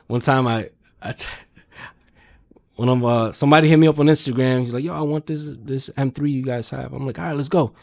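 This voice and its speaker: A man's voice: mid-range voice, fairly quick, vocal fry. Man telling stories in casual slang. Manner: animated anecdotes with acted-out quotes, slangy. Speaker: guest, car salesman. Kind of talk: casual podcast storytelling.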